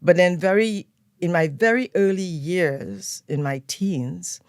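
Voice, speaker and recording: An older woman with a Trinidadian accent, a guest telling stories of her upbringing in a relaxed, unhurried way. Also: on the low side, dry recording, studio quality. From Trinidad and Tobago. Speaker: guest, event planner. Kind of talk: relaxed storytelling interview. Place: radio studio.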